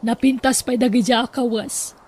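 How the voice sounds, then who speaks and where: A woman acts as a generous madam with a high voice and a northern Philippine accent. She is brisk and kindly but insistent, in radio drama style. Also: fairly even intonation, darkish tone, husky. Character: generous well-off female employer, kindly and insistent.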